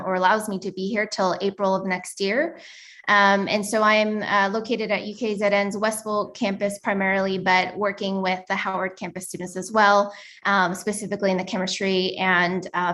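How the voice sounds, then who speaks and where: An American woman giving an academic presentation as a visiting chemistry lecturer over a video call, friendly and measured, with frequent um fillers. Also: medium pitch, a bit bright. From United States.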